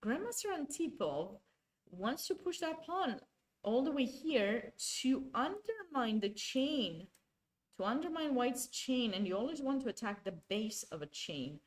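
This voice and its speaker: A woman's voice: a little high, very animated, unhurried, poor audio. A woman, commentating a chess game live and chatting with viewers, often restarting her sentences. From Romania. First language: Romanian. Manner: chatty, self-correcting, talks to chat.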